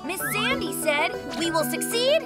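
A woman's voice: very high voice, sing-song, moderate pace. A woman voicing a brave, helpful young boy hero in a children's cartoon, earnest and encouraging as he takes charge. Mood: earnest, reassuring. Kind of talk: children's cartoon dub acting. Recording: loud children's music behind.

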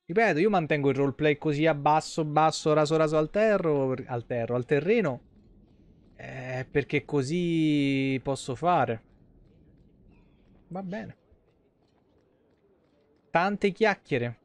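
A man's voice: on the high side, slow, pauses often, no echo. A man giving colloquial, rambling game commentary into a close microphone. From Italy. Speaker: live game streamer. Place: small indoor streaming room. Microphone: close desk microphone.

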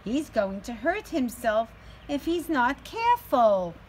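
A woman's voice: high voice, big pitch swings, slow pace, a little mellow, pitched above the performer's own voice, heavily acted. A woman voicing skeptical farm animals thinking dry, judging thoughts in a children's picture book. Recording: some background noise, outdoor ambience.

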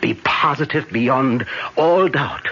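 An actor playing a grave professor and vampire expert in a 1970s radio horror drama, emphatic and given to repeating phrases. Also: male voice, medium pitch, slow pace, sharp tone, slightly rough, full character voice. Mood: solemn warning. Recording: muffled, hissy old radio recording.

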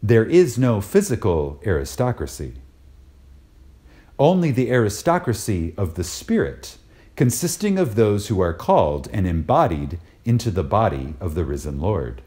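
A man reading a spiritual lecture aloud in a reverent, dramatic style, deliberate and emphatic, with pauses for effect. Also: lowish voice, very animated, dark tone.

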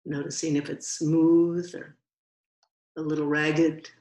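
Woman, meditation teacher, very slow pace, gentle, long pauses, guiding instructions, echoey room sound. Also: deep voice, flat delivery. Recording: roomy, echoing sound.

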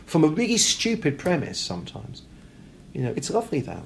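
A man's voice: a little high, wildly swooping pitch, brisk, gravelly. A man from Britain, an author, talking reflectively and animatedly in an interview, emphatically acting out imagined questions and answers. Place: hotel. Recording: background room noise.

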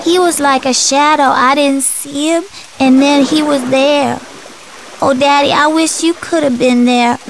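Sad haunted little kid in a horror game, high voice, loud rain noise behind. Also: female voice, fairly even intonation, a little slow, vocal fry. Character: frightened young child's ghost speaking to father. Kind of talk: scripted game cutscene acting.

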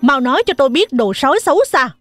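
A woman's voice: high-pitched, animated, fast talker, a bit bright, no echo, soft background music. Protective mother goat, played by a woman, children's cartoon: firm, stern delivery, dry studio sound. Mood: angry, threatening.

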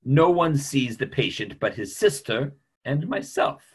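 A middle-aged man playing a careworn, formal family doctor in an online play reading, with measured, hesitant phrasing. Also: medium pitch, animated, slightly rough. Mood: guarded, indignant. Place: small room at home, video call.

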